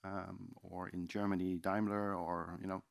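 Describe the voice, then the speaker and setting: A man's voice: low-pitched, little pitch movement, a little slow, creaky, no echo, studio quality. A man with a Dutch accent giving a loose, chatty seminar presentation in a conference room, with many fillers and restarts. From Netherlands. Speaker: conference speaker.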